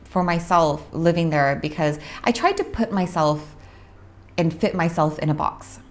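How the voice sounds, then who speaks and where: A young adult woman from Orange County, California, hosting a casual monologue about her spiritual work, unhurried and reflective with frequent pauses. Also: fairly low pitch. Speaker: host, spiritual practitioner.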